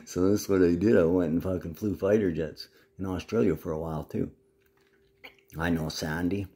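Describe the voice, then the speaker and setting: A man's voice: sits low, fairly even intonation, moderate pace, warm tone. A man talking casually to the camera in a rambling, agitated monologue full of swearing.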